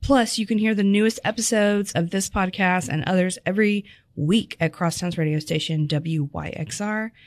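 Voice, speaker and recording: A woman hosting a dining podcast in casual chat, a little hoarse, chatty and quick to laugh. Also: fairly low pitch, no echo. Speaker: podcast host.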